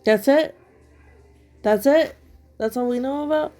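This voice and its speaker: A woman's voice: high voice, unhurried, mellow, soft background music. A woman who is a little sick, her throat still bothering her, giving blunt, critical opinion commentary at home. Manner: blunt and critical, with many fillers. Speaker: online commentator.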